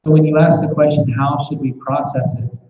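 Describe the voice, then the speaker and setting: Soft flat-voiced man in echoey room. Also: fairly high pitch, fairly quick. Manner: reflective, restarts with repeated words. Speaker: class speaker. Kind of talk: scripture class with questions. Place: temple class room. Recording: echoey muffled room recording.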